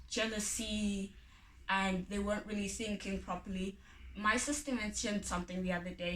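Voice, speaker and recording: A woman's voice: medium pitch, a bit bright, a little hiss or hum. A woman reading a folk tale aloud as a storyteller. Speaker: story reader. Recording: echoey room, distant from mic.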